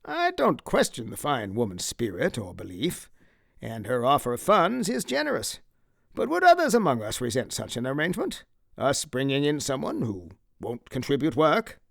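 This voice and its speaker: A man voicing an earnest colony leader in an audiobook, courteous, deliberate and formal. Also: medium pitch, huge pitch swings, vocal fry, dry recording, clean studio sound, heavily acted. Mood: deliberating. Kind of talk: audiobook character acting.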